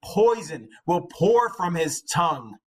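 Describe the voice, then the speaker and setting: A man voicing an aged, fearless warrior king in a slow, emphatic dramatic reading of epic poetry. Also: high voice, sing-song, voice pushed up, exaggerated voice. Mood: defiant boast. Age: older.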